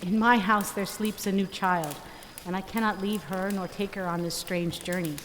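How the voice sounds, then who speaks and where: A woman's voice: medium pitch, fairly even intonation, moderate pace, a bit bright, natural voice. Kindly Magus, new parent female reader, mid-range voice, dramatic Christmas reading, reverberant hall. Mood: regretful, kindly. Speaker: amateur church reader. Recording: echoey hall reverb with background noise.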